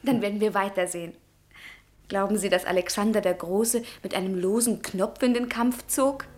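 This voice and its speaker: An actress in a radio play playing a bold, headstrong young woman, forthright, insistent and gently mocking. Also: mid-range voice, steady tone, normal speed, lightly acted. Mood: teasing, softer.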